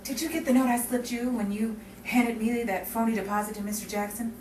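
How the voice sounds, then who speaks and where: A woman's voice: a little high, fairly even intonation, fairly quick, darkish tone, lightly acted. A woman playing a sardonic, world-weary gangster's girlfriend in a 1950s crime melodrama. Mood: weary, resigned. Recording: echoey room, distant mic, clear noise.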